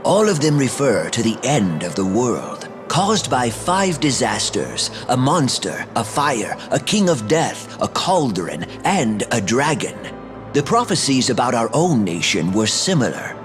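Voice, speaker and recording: A touchy, self-important scholar from a fantasy game, over a music bed. The formal, theatrical delivery swoops dramatically up and down. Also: male voice, medium pitch, very animated, measured pace. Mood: lecturing. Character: pompous, touchy male scholar and royal tutor. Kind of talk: scripted fantasy video game acting.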